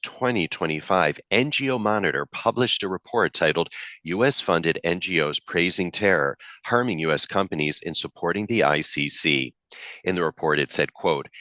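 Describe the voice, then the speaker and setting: A man's voice: low voice, pushed and bright, no echo. A man hosting a podcast, reading a polished, upbeat intro and then interviewing a guest. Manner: polished, upbeat announcer delivery. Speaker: podcast host.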